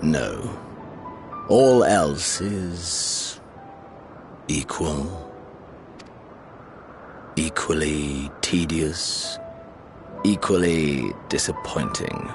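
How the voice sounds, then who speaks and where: An actor playing a grim, bored warrior villain in a game, deadpan, weary and menacing. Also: male voice, a bass voice, extremely slow, creaky, pauses often, soft background music, heavily acted. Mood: bored disdain. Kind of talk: video game voice acting.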